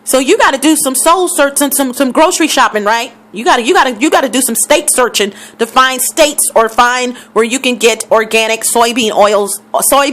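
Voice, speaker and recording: A woman's voice: on the high side, a bit bright. Emphatic woman with a scratchy throat. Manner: emphatic, repeats figures for stress. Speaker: vlogger. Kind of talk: casual talk to camera.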